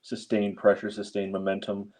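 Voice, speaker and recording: A man with a somewhat low voice chats casually about sport as a co-host. He rambles and hesitates, with wry understatement throughout. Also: monotone, moderate pace, darkish tone. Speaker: podcast co-host.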